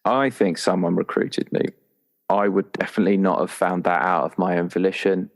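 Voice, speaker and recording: A man's voice: low-pitched, fairly even intonation, normal speed. A man chatting casually and playfully as a tabletop roleplaying player, enthusiastic and prone to ramble. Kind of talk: casual chat about a game character.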